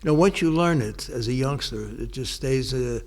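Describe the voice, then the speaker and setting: An older man talks through old memories in an unhurried way. He keeps circling back with restarts and fillers, and his voice sits in a mid-range pitch. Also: lively intonation, darkish tone. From New York, United States. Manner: rambling, many restarts and pauses. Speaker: interviewee. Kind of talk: casual oral-history interview.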